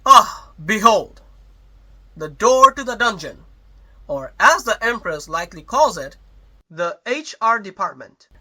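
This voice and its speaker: An actor playing a vain, pompous space captain with florid, formal speech in a sci-fi comedy audio drama. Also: male voice, sits high, big pitch swings, unhurried, pushed and bright, slight background noise, full character voice, low-quality recording. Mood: grandiose. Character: pompous, vain male space captain, self-styled charmer.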